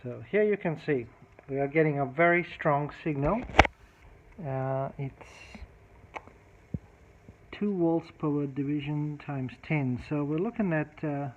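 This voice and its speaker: A man's voice: on the high side, slow, pauses often, slight background noise. A man giving an unscripted, hands-on technical demonstration, explaining methodically and thinking aloud as he works through an electronics repair. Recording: thin phone-like band-limited sound.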